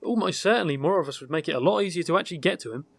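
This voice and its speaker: A man's voice: a little high, expressive, racing, gravelly, no echo. A man acting a wry, easygoing adventurer in a fantasy audio drama, with a teasing manner.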